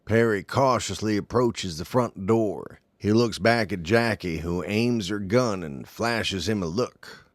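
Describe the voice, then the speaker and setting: Male narrator, lower register, scripted drama narration. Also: unhurried, few pauses, no room sound.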